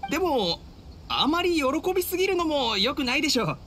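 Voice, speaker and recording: A man's voice: very high-pitched, big pitch swings, a little fast, a bit bright, a little hiss or hum, full character voice. A man voicing a humble, dutiful young samurai officer in a Japanese anime game, speaking formally and deferentially. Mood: forced cheer. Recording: studio voice over game music. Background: game music.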